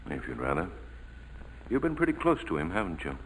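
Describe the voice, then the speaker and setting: An actor playing a sober, candid military surgeon in a 1940s radio drama, speaking in a measured way. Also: male voice, low voice, huge pitch swings, fairly bright tone, full character voice. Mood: grave. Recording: hollow reverberant old radio, faint noise.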